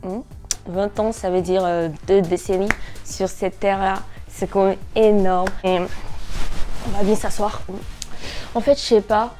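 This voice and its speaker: A woman of nineteen chatting casually to camera as a vlogger, in an animated, slangy way with English words mixed in. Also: mid-range voice, measured pace, over music. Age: 19, nearly twenty.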